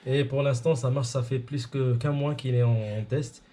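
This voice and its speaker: A man casually explaining technical gear, haltingly and groping for words. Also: medium pitch, fairly even intonation, mellow. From Morocco. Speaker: amateur radio hobbyist explaining his setup. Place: indoors at his home radio station.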